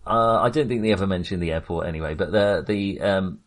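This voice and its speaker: A man with a slightly low voice hosts a casual film podcast. He talks in a rambling, hesitant way, circling back on his phrases with a wry touch. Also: fairly quick. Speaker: podcast host.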